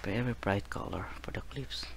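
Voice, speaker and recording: A man teaching acrylic painting as he works, talking slowly and calmly, often saying "guys" and "there". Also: lowish voice, vocal fry, whispered. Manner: slow, relaxed, repeats "guys" and "there", gentle reminders. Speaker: painting instructor. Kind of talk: real-time painting tutorial narration.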